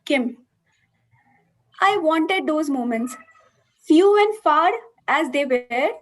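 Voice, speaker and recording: A woman's voice: very high-pitched, rough recording. A woman voicing a lovelorn woman confessing love to a friend, reading a film monologue aloud. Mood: hurt, wistful. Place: online video call.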